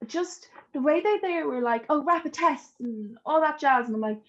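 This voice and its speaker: A woman's voice: high-pitched, animated, moderate pace, dull audio. A young adult woman with an Irish accent, chatting casually and informally as a podcast guest telling personal stories. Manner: chatty, informal, laughs easily.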